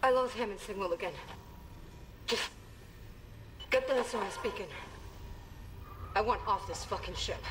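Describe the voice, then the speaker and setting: An actress playing a frightened, fed-up crewmate on a comms call in a tense video game. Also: female voice, mid-range voice, normal speed, pauses often, background noise. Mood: shaken, edgy.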